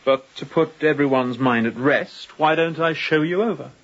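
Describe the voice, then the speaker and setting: An actor playing a composed, courteous young sea captain in a radio drama, speaking in a measured, polite tone with quiet authority. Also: male voice, on the high side, highs cut off. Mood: probing, smooth. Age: young adult.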